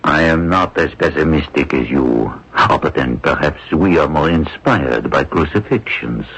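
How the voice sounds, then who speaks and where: An actor playing a calm, confident man of the French underground in a radio drama, measured and assured. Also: very deep voice, noisy background. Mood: wry, calm. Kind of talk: old-time radio drama acting. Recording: dull lo-fi radio broadcast recording.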